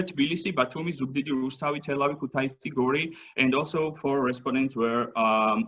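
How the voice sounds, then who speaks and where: A man whose native language is Georgian gives an academic seminar talk in English, halting often with fillers and restarts. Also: medium pitch, a bit bright, muffled recording. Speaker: seminar presenter. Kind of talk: academic presentation.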